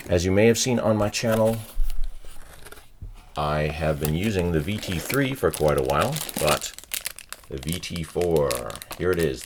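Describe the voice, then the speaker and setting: Very deep-voiced man, casual unboxing talk. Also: noisy background. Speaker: tech channel host presenting an unboxing. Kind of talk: casual unscripted product walkthrough.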